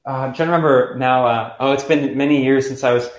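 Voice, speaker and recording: A man's voice: mid-range voice, steady tone, fairly quick, lo-fi sound. A young man hosting a call-in podcast, talking casually and thinking aloud with many fillers and restarts. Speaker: podcast host taking calls. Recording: roomy echo.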